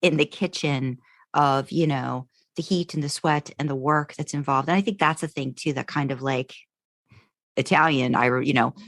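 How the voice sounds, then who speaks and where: A woman's voice: a bass voice, normal speed, no room sound, clean studio sound. A woman co-hosting a podcast in casual chat, talking in loose, trailing thoughts. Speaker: podcast co-host. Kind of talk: casual chat about fan fiction.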